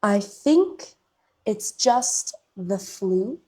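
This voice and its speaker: A woman hosting a slow English podcast, speaking in short, deliberate phrases with long pauses, a little congested and coughing from a cold. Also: medium pitch, animated, a little mellow, breathy edge. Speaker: podcast host and English teacher.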